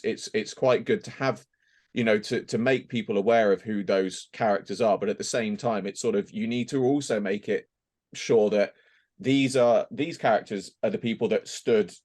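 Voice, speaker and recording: A man's voice: on the low side, normal speed, a little mellow. A man chatting casually on a podcast as a voice actor guest, rambling a little and hesitant as he thinks aloud.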